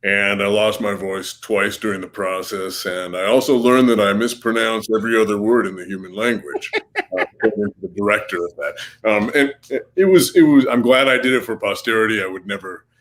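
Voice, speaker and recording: A man's voice: fairly low pitch, a little fast, fairly bright tone. A man appearing as a writer guest on an interview podcast, chatting casually in a self-deprecating, joking manner. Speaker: comic book writer, guest.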